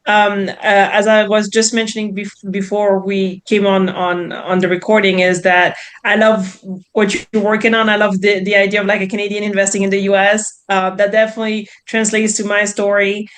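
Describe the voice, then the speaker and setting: Female podcast guest, thirties, French accent, mid pitch, expressive conversational storytelling. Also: moderate pace. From France. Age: 30s. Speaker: podcast guest, real estate investor. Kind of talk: casual interview storytelling.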